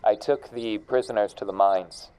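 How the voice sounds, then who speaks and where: Man playing a smooth, mellow, weary rebel uncle. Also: on the low side, steady tone, normal speed, lightly acted, low-quality recording. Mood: gruff, guarded. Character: weary, protective rebel uncle, gruff and fond.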